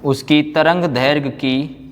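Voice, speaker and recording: Guy teaching physics class, slow n repetitive, big echoey room. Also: on the high side, fairly even intonation. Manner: repetitive, explanatory, with rhetorical questions and check-ins. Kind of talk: classroom lecture. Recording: echoey hall, long reverb.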